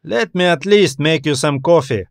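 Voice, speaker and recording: Man reading as careful male station operator, earnest, reasoning, raised pitch, dry recording, sci-fi audiobook. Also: normal speed, vocal fry, no echo. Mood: friendly. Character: cautious, law-abiding male space station operator.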